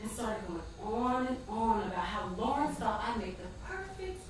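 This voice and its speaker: Actress as a high-voiced sassy woman, very echoey stage. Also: very animated, normal speed. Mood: rueful, confiding. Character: outspoken, wounded woman friend who wants career and love. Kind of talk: stage play acting. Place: large theatre stage, recorded from far off. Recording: distant, very echoey, noisy stage recording.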